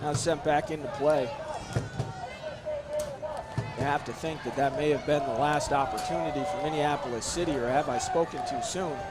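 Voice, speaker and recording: A man calling a live soccer match play by play, hoarse and losing his voice, his voice jumping up on big chances. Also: fairly high pitch, a little fast. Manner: excitable, voice jumps up on big chances. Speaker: play-by-play commentator. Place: outdoor soccer field. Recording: loud crowd and outdoor noise. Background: cheering crowd.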